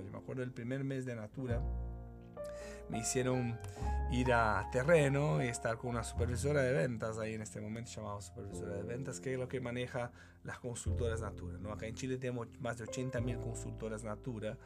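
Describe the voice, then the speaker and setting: A Brazilian man in his forties speaking Spanish with a Brazilian Portuguese accent, a company manager reflecting in a relaxed interview, often adding "¿no?". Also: mid-range voice. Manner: warm, reflective, often adds "¿no?". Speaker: guest, company general manager. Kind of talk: casual interview chat. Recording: podcast with guitar music behind.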